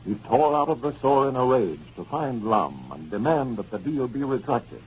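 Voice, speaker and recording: A man's voice: medium pitch, animated, normal speed. An American man giving a scripted announcement and sponsor narration as a 1930s radio announcer. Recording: muffled, hissy 1930s radio recording.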